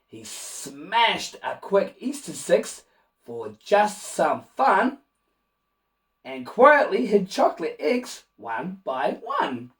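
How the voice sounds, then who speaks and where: A man reading a rhyming children's picture book aloud in an expressive, animated way, with asides to young listeners. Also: sits high, slow, far from the mic. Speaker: children's storybook reader and host.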